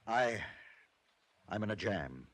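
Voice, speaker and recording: An actor playing a frightened, evasive wealthy oil businessman in an old-time radio drama, halting and melodramatically pleading in a husky voice. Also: fairly low pitch, expressive, pushed and bright, full character voice. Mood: anxious, evasive.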